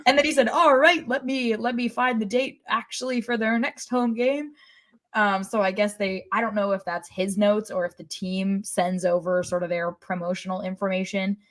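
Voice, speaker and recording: A woman's voice: mid-range voice, steady tone, moderate pace. A woman co-hosting a sports podcast with casual, conversational commentary.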